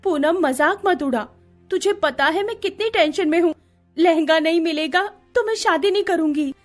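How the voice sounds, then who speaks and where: Woman acting a shrill, emotional young bride. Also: moderate pace, faint music behind. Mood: tense. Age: young adult. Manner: high, loud, emotive. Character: stubborn, emotional young bride, doting daughter.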